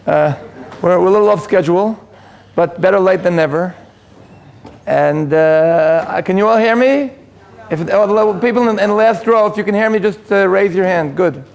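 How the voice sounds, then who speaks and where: A man's voice: high voice, normal speed, gravelly. A man with an Israeli accent, a native Hebrew speaker, giving an informal lecture to a live audience with joking asides and frequent restarts. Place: community hall with live audience.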